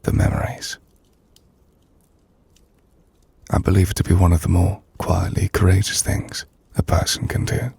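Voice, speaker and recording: A man playing a melancholy, gentle half-vampire nobleman in an intimate ASMR roleplay, speaking in a hushed, breathy, formal and reflective manner. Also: flat delivery, dry recording, exaggerated voice. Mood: wistful, reflective. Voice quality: low, gravelly, breathy. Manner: hushed, breathy, slow reflective delivery with formal, measured phrasing. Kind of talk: ASMR audio roleplay, intimate dramatic monologue.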